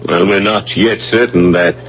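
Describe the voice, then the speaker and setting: A man's voice: deep voice, fairly even intonation, moderate pace, a bit bright. An actor playing a pompous, authoritative male archaeology professor in an old-fashioned radio drama, formal and declamatory. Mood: measured, scholarly. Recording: dull, hissy old radio broadcast with hum.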